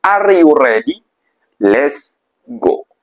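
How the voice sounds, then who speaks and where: Brief: male reaction host, slightly high voice, indignant, emphatic delivery. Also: very animated, drawn-out words, a little hiss or hum. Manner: indignant, emphatic, refers to himself in third person. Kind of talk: commentary addressing viewers.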